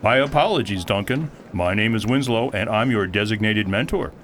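A man playing a polite, literal-minded mentor guide in an audio drama, speaking in a formal, measured and precise way. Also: lowish voice, expressive, fairly bright tone, slight background noise. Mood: courteous, unruffled. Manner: formal, measured, precise phrasing with few contractions.

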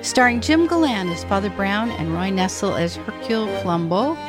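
Animated woman radio announcer over music. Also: mid-range voice, normal speed. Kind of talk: scripted radio drama credits. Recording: loud violin music behind.

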